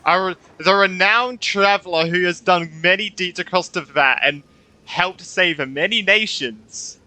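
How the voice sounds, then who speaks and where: A man streaming a game with casual, excitable, slangy reaction commentary. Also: high voice, a little slow, dry recording. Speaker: gaming streamer.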